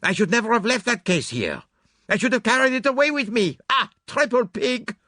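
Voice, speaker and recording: A man voicing an excitable, theatrical foreign detective, emphatic and dramatic, in an audiobook. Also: medium pitch, moderate pace, slightly rough, no room sound, studio quality, voice pushed up. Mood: furious, despairing. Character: excitable, theatrical foreign male detective, fastidious and self-reproaching.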